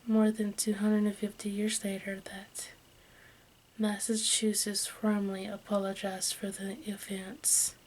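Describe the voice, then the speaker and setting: A woman reading aloud in a breathy whisper for ASMR, haltingly and with small stumbles over the words. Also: medium pitch, poor audio. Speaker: host of an ASMR channel. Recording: steady background noise, room ambience.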